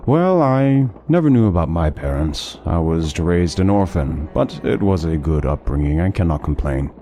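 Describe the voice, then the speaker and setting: A man playing a courteous, learned, melancholy traveling scholar in a fantasy audio drama, speaking in measured, formal phrases with music behind him. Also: low-pitched, animated, warm tone, a little hiss or hum. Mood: somber, wistful. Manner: measured, formal, articulate storytelling.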